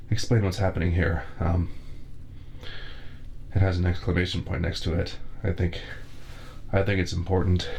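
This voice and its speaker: A man's voice: deep voice, darkish tone, a little hiss or hum. A man voicing a pompous middle-aged male torturer with grand, formal villain speech in an ASMR roleplay, recorded on a binaural microphone.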